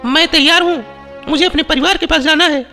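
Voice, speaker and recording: Man as a very high-voiced earnest village girl. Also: fairly even intonation, brisk, sharp tone. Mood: resolute. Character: earnest, brave village girl lost in forest. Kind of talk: animated moral story dialogue. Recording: long reverb, music bed.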